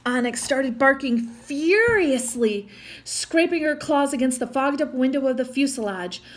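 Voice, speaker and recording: A woman with a fairly high voice reads a children's storybook aloud. Her delivery is expressive, and she breaks off for chatty little asides to young listeners. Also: normal speed. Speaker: storytime reader.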